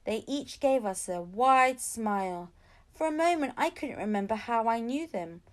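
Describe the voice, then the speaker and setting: A woman's voice: fairly high pitch, unhurried. A woman reading a children's storybook aloud, narrating expressively as an audiobook reader. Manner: expressive storytelling with acted-out dialogue.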